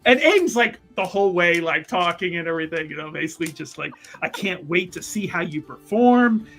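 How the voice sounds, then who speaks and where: A man playing a tabletop role-playing game, gushing and rambling in an excited, oblivious way as his character. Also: sits high, fairly quick, creaky, soft background music. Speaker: player.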